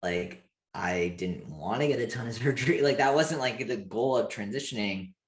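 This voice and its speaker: A candid man around thirty with a fairly low voice, recorded in an echoey room. He talks informally in a personal interview style, peppering his sentences with "like". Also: expressive, normal speed. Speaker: interview guest, activist. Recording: roomy, echoey sound.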